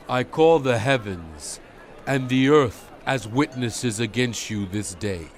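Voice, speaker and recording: Aged Hebrew prophet, solemn audio-Bible oration, unhurried and measured, stern leader's exhortation, faint ambient noise behind. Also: male voice, medium pitch. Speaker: Bible narrator. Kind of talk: dramatized scripture reading, solemn oration.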